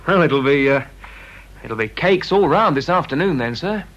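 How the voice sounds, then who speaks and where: A man's voice: fairly high pitch, lively intonation, normal speed, a bit bright. An actor in a radio drama playing a young male office assistant, affable, respectful yet lightly cheeky. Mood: chirpy. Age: young adult. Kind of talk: British radio drama acting. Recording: dull old radio recording, faint noise.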